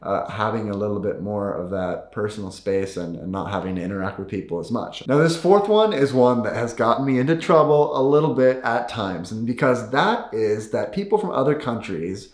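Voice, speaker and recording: A man's voice: fairly low pitch, expressive, normal speed, hardly any pauses. A man with an American accent talking casually to his viewers as a vlogger. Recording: roomy echo.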